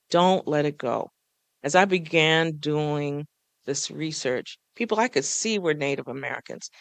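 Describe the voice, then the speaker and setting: A man's voice: a little high, gravelly, no room sound. A man, a folklorist, speaking to a live audience on a panel in a small café, earnest and coaxing, often putting questions to the crowd.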